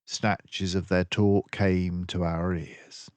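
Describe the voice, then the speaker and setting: An older man reading a children's story aloud in an expressive, unhurried way. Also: deep voice, no room sound. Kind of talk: scripted narration.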